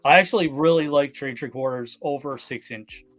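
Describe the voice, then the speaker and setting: A man from Canada chatting casually on a live stream, relaxed and full of fillers. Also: fairly high pitch, fairly quick, faint music behind. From Canada, Newfoundland. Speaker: guest collector.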